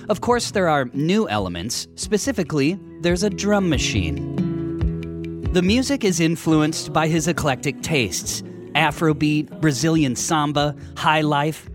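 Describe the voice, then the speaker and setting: Male radio host, scripted narration, lively higher voice over music.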